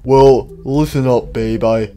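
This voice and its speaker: A man plays a smug animatronic bear in a cartoon comedy. He is laid-back and flirty, talking in a casual, smooth way over background music. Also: mid-range voice, fairly even intonation, slow pace, dark tone, close to an everyday voice. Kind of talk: improvised comedic character roleplay.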